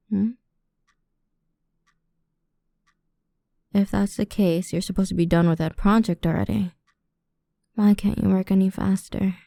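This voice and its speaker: A woman playing a cruel, condescending female boss in an ASMR roleplay, speaking deliberately and often slipping into a whisper close to the microphone. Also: fairly low pitch, dark tone, no room sound. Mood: scornful, cold. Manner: condescending, deliberate, drawn-out delivery with breathy whispers. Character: cruel, domineering female boss toying with an employee. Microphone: close microphone, dry.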